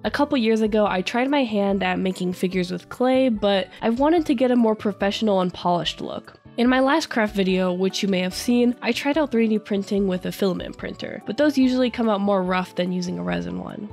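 Stuffy-nosed woman hosting a crafting video, mid-pitched, friendly and explanatory, light music bed. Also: brisk, creaky, few pauses, a little mellow. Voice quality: nasal. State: congested from a cold. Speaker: craft YouTuber. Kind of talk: scripted voice-over narration.

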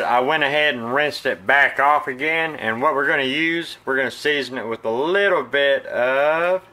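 A man in his thirties with a Southern American accent, casually walking viewers through cleaning and pan-frying a fish in his home kitchen, recorded on a phone. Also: mid-range voice. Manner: folksy, relaxed, talks to viewers as "guys". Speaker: cooking channel host. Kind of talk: casual cooking demonstration. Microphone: phone camera.

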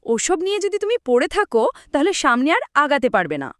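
A woman's voice: high-pitched, big pitch swings, fast, fairly bright tone, no room sound, clean studio sound. A woman voicing a demanding village wife in a cartoon. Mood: scolding. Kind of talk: cartoon voice acting.

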